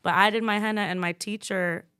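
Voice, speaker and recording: A young adult woman, a guest on a school podcast, telling her story in a lively, candid, slangy way. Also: mid-range voice, a little fast, clean tone, dry recording, clean studio sound. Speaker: guest, former student working with the school. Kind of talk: casual podcast chat.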